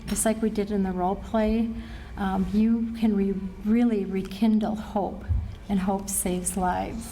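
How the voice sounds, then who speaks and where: A middle-aged woman with an American accent giving an earnest, measured training presentation to a group as a presenter. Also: mid-range voice, dark tone, slight background noise. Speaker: suicide prevention trainer and presenter. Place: presentation room at a care facility.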